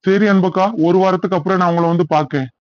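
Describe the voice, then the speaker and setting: High-pitched, earnest cartoon pastor played by a man. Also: steady tone, quick pace, no room sound, muffled recording, natural voice. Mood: gentle, persuasive. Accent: southern Tamil Nadu. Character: kindly, earnest male village church pastor.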